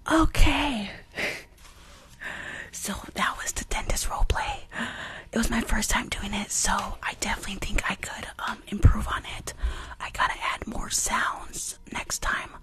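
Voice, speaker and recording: A woman's voice: medium pitch, slow pace, pauses often. Whispering young woman, ASMR streamer. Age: young adult. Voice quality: breathy whisper. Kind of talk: whispered casual chat to viewers. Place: small room indoors. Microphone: close desk microphone.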